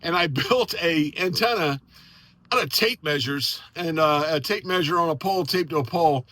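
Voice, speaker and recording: Bright, high-voiced American man. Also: normal speed. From United States. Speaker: amateur radio operator and video host. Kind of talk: casual storytelling and on-air radio chat.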